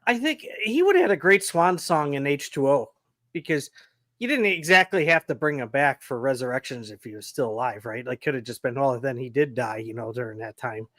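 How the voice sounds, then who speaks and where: A man's voice: medium pitch, lively intonation, brisk, a bit bright, no echo, studio quality. A man co-hosting a casual horror podcast, chatty and easygoing, a bit sniffly from allergies. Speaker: podcast co-host.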